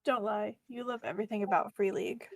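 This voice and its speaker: A woman's voice: medium pitch, steady tone, quick pace, no room sound. A woman chatting casually as a player in a tabletop roleplaying game.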